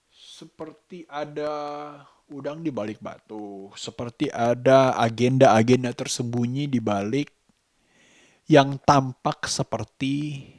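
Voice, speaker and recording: A man from Indonesia talks casually to camera as a vlogger, musing and halting, drawing out some words. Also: medium pitch, no echo, clean studio sound. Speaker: vlogger, host of a daily vlog. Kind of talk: casual vlog monologue to camera.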